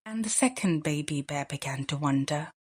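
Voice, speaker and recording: A woman reading a children's bedtime story aloud with deliberate, expressive phrasing. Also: low voice, husky.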